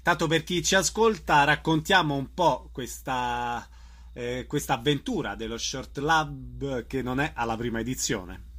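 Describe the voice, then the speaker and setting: A man's voice: medium pitch, a little slow, fairly bright tone. A man hosting a radio interview, putting questions to guests and announcing show details.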